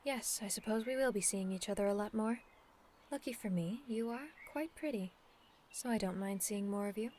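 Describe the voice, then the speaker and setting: A woman playing a dignified, earnest demon princess in a scripted ASMR audio roleplay, speaking in a formal, measured, persuasive way. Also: medium pitch, a little mellow, a little hiss or hum, lightly acted. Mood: teasing. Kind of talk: scripted ASMR roleplay acting, addressed to the listener.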